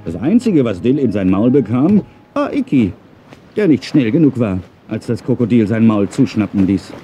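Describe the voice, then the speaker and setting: A man's voice: medium pitch, big pitch swings, normal speed, warm tone. A man narrating a children's audio drama in a dramatic storytelling manner. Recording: music behind the voice. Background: music.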